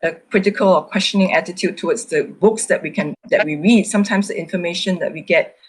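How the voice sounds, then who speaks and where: Woman artist moderating a group chat, thinking out loud, kinda tentative. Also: lowish voice, fast, slightly rough, poor audio. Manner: tentative, repeats "you know". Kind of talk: conversational group feedback discussion.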